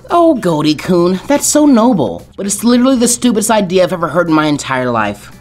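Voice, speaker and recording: A man's voice: high-pitched, sing-song, brisk, soft background music, voice pushed up. A man voicing a confident, bossy teenage ninja girl in a teasing, emphatic, sweet tone for a fan fiction reading.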